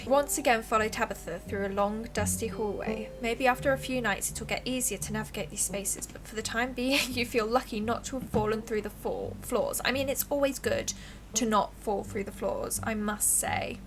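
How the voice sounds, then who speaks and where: A woman livestreamer giving casual, expressive, giggly game commentary and reading the game's text aloud. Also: fairly high pitch, moderate pace, music in the background. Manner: giggly, expressive, reacts out loud.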